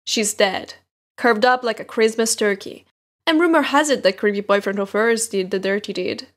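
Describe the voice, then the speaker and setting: A woman's voice: medium pitch, normal speed, lightly acted. A woman playing a brash, foul-mouthed fairy-tale princess, sardonic and blunt, in a comic dub. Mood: sardonic, gossipy. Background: soft music.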